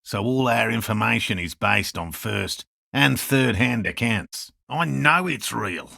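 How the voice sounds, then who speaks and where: A man voicing an earnest, eccentric cryptozoologist in a video game, with a raspy voice and precise, courteous, scholarly phrasing. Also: mid-range voice, animated, measured pace, sharp tone, no room sound, clean studio sound, exaggerated voice. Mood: explaining, scholarly. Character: earnest eccentric male cryptozoologist scientist, obsessive but courteous. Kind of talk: video game dialogue voice lines.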